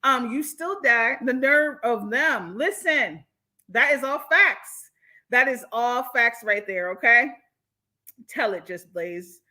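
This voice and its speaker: A woman with an American accent hosting a casual livestream, reading and answering viewer comments in an animated, candid, slangy way. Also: on the high side, takes it slowly. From born in Jamaica, moved from the United States. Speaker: livestream host.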